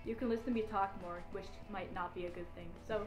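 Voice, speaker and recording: A woman's voice: mid-range voice, fairly even intonation, fast, over music. A woman chatting casually in an offhand, self-deprecating way. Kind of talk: casual chat. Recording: echoey room.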